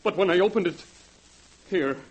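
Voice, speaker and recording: Actor as an anxious higher-voiced man, pleading. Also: normal speed, highs cut off, a little hiss or hum, natural voice. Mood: worried. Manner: urgent, earnest, pleading. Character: anxious, pleading ruined businessman, tense and desperate. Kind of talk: 1940s radio acting. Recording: vintage 1940s radio recording.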